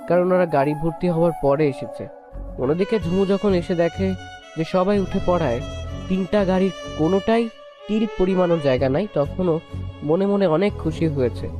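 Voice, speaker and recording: High-voiced male story narrator. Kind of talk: scripted narration of romantic story.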